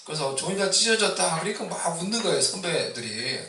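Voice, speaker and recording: Bright-voiced pastor preaching in an echoey hall. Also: male voice, expressive, quick pace, slight background noise. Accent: Seoul Korean with a mix of Busan dialect. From Seoul, Korea. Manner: rises loud and high on exhortations, asks the congregation questions. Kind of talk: Easter sermon. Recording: echoey hall, distant mic.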